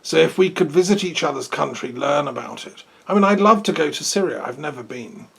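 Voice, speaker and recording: A man's voice: on the high side, lively intonation, fairly quick, gravelly, fairly bright tone, husky. A man hosting an interview, asking rambling, friendly questions. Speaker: host. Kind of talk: casual interview.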